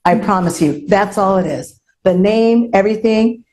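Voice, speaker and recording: A woman's voice: mid-range voice, moderate pace, a little mellow. A woman hosting an unscripted panel discussion, speaking earnestly and repeating points for emphasis. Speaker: panel host.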